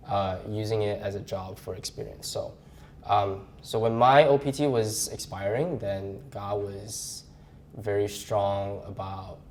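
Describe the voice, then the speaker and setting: A young man from Malaysia, a graduate student assistant, giving earnest and halting personal interview answers. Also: fairly low pitch, darkish tone, a little hiss or hum. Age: young adult.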